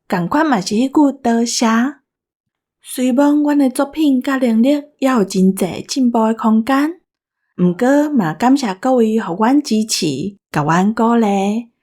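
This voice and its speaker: A Taiwanese woman with a fairly high voice speaks casually to her audience as part of a production team. She talks in the manner of an informal sign-off to loyal listeners. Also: unhurried, a little mellow. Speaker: podcast team member and audio engineer.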